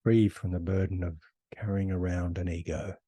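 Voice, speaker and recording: A man leading a guided meditation as a teacher, unhurried, giving one short phrase at a time with long pauses. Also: low-pitched, steady tone, dark tone, breathy edge, no echo.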